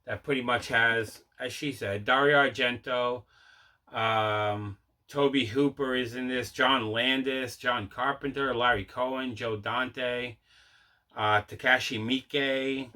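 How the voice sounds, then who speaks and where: A man with a bright, forceful voice presents a collecting show in a casual, chatty way. He sounds enthusiastic and circles back over his own phrases. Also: mid-range voice, steady tone, slow. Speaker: host of a collecting video show. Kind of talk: casual unboxing vlog chat.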